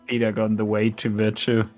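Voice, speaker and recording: A man's voice: lowish voice, a little mellow. A man chatting casually as he translates and comments on video game voice lines. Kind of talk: casual chat, language commentary.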